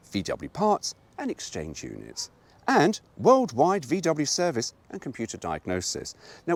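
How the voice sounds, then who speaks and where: Lively, chatty British man. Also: medium pitch. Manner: chatty, wry asides, laughs at own jokes. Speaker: host reading a car brochure. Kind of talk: casual storytime reading.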